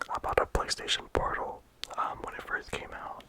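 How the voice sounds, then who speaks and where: A game reviewer talking casually in a soft ASMR whisper, with frequent "like" and "um" fillers. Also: moderate pace. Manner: soft unvoiced whisper throughout.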